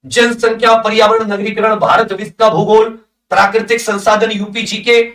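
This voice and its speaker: A man speaks in a very high-pitched voice as a coaching teacher. He goes through a list item by item, dropping in English technical terms. The room is clearly echoey and he sounds some distance from the microphone. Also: little pitch movement, normal speed, fairly bright tone. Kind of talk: lesson, reading through a syllabus list with brief comments.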